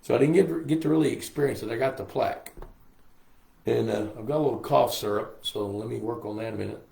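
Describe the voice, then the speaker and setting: An older man, a little hoarse, chatting casually with livestream viewers in a folksy way. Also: medium pitch, fast, dark tone. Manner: chatty, folksy, reads viewer comments aloud. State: hoarse from a lingering cough. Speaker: host. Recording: roomy echo on a live stream.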